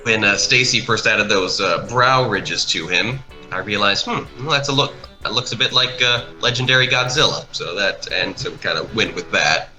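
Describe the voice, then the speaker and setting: Male guest, low pitch, very sharp bright tone, enthusiastic and halting, conversational. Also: soft background music, low-quality recording. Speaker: guest, creator and voice actor. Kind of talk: casual chat, commentary over an art video.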